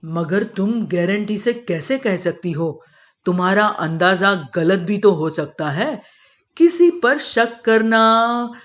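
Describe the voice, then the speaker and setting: A storybook father of middle age, played by a woman, pitched well below the narrating voice. He questions everything skeptically and scoffs, teasing in a gruffly affectionate way. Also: animated, slow. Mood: skeptical, scolding.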